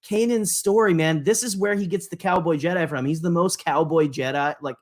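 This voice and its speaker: A man co-hosting a podcast, chatting casually in a rambling, halting way. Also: fairly high pitch. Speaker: podcast co-host. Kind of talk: casual chat.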